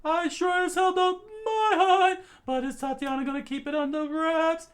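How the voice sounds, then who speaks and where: A woman voicing a loud, cocky young male fighter in a high, forceful voice. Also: fairly even intonation, unhurried. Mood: boisterous. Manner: loud, boisterous.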